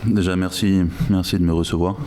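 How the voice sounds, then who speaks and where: Low, flat-voiced man, reflective. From Guinea. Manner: measured, reflective, frequent restarts. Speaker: guest, logistics director. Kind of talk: podcast interview.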